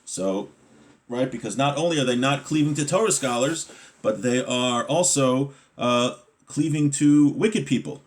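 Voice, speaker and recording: A man teaching a Torah class, reading the text aloud and explaining it plainly and informally, repeating points with hesitant pauses. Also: mid-range voice. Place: live-streamed online class.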